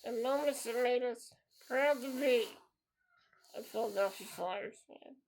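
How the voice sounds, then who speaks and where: Slow gravelly man in his thirties. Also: extremely high pitch. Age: 30s. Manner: earnest and repetitive, circling back to the same phrases. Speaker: podcast host. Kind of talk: solo podcast monologue.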